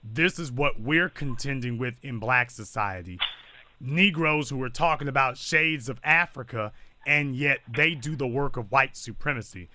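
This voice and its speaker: A man's voice: mid-range voice, normal speed, sharp tone, a little hiss or hum. A man hosting a live call-in talk show, speaking in a blunt, lecturing way.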